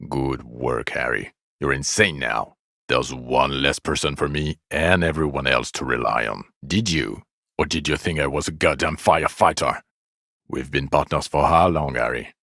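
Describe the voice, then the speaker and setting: A male actor playing a bitter, exasperated, foul-mouthed police detective in a video game, scolding with weary sarcasm and contempt in a gravelly voice. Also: rumbling low, normal speed, pushed and bright, no room sound, clean studio sound, full character voice. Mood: scathing, exasperated. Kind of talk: video game character dialogue.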